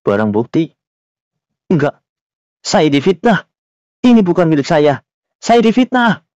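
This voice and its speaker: A man's voice: on the high side, sing-song, unhurried, a little mellow, no echo, muffled recording. A man voicing a flustered, defensive male crime suspect in a cartoon, agitated and protesting. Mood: pleading. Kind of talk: Indonesian cartoon dialogue, dramatic. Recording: dry voice over music.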